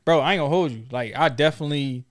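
A man's voice: fairly high pitch, racing. A man in his thirties hosting a casual conversation, talking in an informal, slangy, probing way. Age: 30s. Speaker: talk show host.

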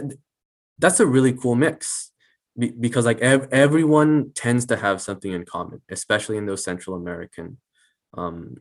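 A teenage boy, a student on a panel, speaking casually with hesitant stutters and restarts. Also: lowish voice. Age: teens. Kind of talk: casual discussion.

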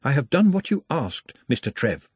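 A man's voice: mid-range voice, big pitch swings, moderate pace, a little mellow, breathy edge, no room sound, pitched above the performer's own voice. A man voicing a polite, nervous young man who speaks very formally, in an audiobook reading. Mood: deferential. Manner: formal, deferential.

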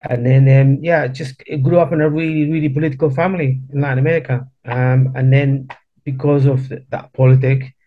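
A man in his fifties, born in Chile, telling his family's story as a guest in a casual interview, halting and often repeating words. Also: medium pitch, flat delivery, phone-quality sound.

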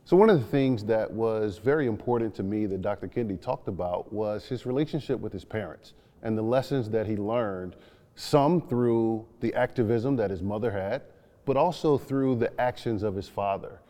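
A man's voice: on the low side, moderate pace, dark tone. A man co-hosting a discussion, reflecting and explaining in an earnest way. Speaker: co-host, youth mentor. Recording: long hall reverb on the voice.

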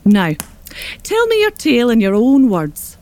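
An actress with an Irish accent playing a shrewd, hospitable northern wise woman in a children's audio drama, speaking with deliberate gravity. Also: on the high side, wildly swooping pitch, darkish tone. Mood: welcoming.

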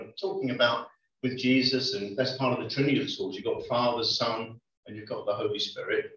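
A man preaching a conversational sermon in a church, often pausing with ums. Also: medium pitch, a bit bright. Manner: conversational, hesitant with many ums. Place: church hall. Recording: echoey lo-fi church recording from far off.

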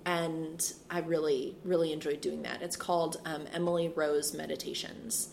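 A woman in her thirties, a priest and school chaplain, talking in a relaxed interview with a soothing, reflective manner. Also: low voice, moderate pace, creaky, slight background noise. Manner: frequent fillers and restarts, laughs easily. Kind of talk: casual interview conversation.

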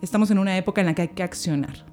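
A young woman, a podcast guest speaking in a thoughtful, measured way and asking rhetorical questions. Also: lowish voice, darkish tone, faint music behind. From Peru. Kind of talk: reflective conversation.

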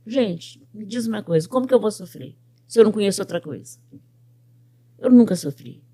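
An older woman from Brazil, a pet groomer and business owner, telling her life story in an interview with lively quoted speech. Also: medium pitch, fairly quick. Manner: animated storyteller who quotes other people's words.

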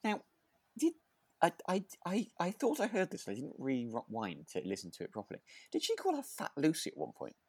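A man's voice: a little high, huge pitch swings, brisk, a little mellow, breathy edge, dry recording. A man co-hosting a podcast in casual chat, rambling along in a hedging, chuckly way. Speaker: podcast co-host. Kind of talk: casual chat reviewing a radio drama.

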